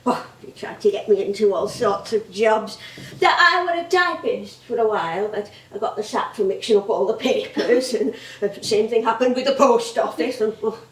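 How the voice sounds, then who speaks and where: Recorded from a distance in a roomy theatre, a woman plays an elderly Northern English music-hall actress. Her voice is high, and she rambles fondly and proudly, full of exclamations, in a stage-play style. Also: animated, moderate pace, lightly acted. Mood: chatty, amused. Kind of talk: theatrical monologue-like reminiscing.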